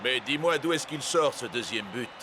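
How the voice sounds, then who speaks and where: A man's voice: medium pitch, animated, normal speed, noisy background, close to an everyday voice. A man playing a smug rival goalkeeper in a cartoon. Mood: baffled. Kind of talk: cartoon dialogue. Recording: loud music behind.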